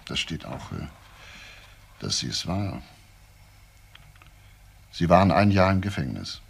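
Radio play cop, deep creaky voice, short pushy questions, dogged. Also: male voice, sing-song, slow, exaggerated voice. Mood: probing, official. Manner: terse, insistent questioning. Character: dogged, probing male police detective questioning suspects. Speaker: actor in a crime radio play. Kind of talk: German crime radio drama dialogue.

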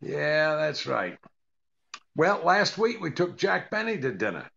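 An older man playing a dry, put-upon comedian husband in a 1940s radio comedy, deadpan and hesitant, with a gravelly voice. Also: fairly high pitch, measured pace, muffled recording, heavily acted. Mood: dry, patient.

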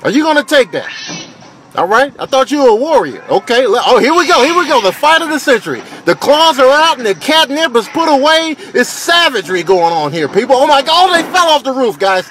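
A man voicing an excitable bird fight commentator in a comic animal voiceover, with a dramatic, goading delivery. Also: extremely high pitch, a little fast, slight background noise, full character voice.

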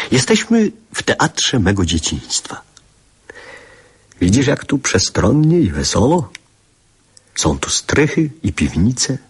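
Wistful dad narrating childhood memories in a radio play, very husky, slow and lyrical. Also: male voice, low-pitched, exaggerated voice. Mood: wistful, tender. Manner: measured, lyrical, hushed at times. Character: nostalgic man recalling his childhood, a reflective father. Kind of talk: literary radio drama narration.

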